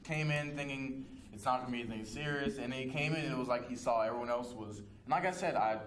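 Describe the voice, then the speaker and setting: A man's voice: medium pitch, fast talker. A young man, a student news correspondent, giving his emphatic opinions in a casual panel discussion. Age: young adult. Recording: echoey room with clear background noise.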